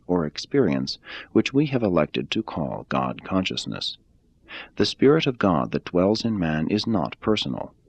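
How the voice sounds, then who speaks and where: A man reading a religious text aloud in a measured, deliberate way. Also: deep voice, creaky, husky, no room sound, dull audio. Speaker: reader.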